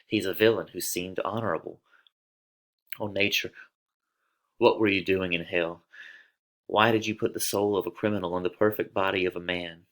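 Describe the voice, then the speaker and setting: A man's voice: deep voice, fairly even intonation, moderate pace, long pauses, fairly bright tone. A man playing a lovesick young girl in a dramatic reading of a tragedy, declaiming with open emotion. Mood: bitter. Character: lovesick young girl, impatient, then anguished and grieving.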